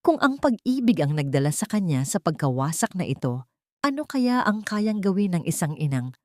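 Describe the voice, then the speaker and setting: A woman narrates a dramatic story with solemn, melodramatic delivery. Her voice sits slightly low, and the sound is dry and close. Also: very animated, moderate pace, dark tone, no room sound.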